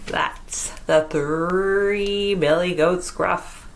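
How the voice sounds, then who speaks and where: Slow, lowish-voiced woman storytime host. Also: vocal fry, slight background noise. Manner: expressive, deliberate storytelling. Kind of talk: children's picture book read-aloud and storytime talk. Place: small room at home, indoors.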